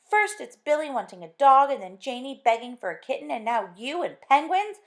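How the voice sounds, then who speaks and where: Woman voices a cross, penny-pinching wife; sharp, complaining, gravelly edge. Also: medium pitch, big pitch swings, a little fast. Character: cross thrifty middle-aged housewife.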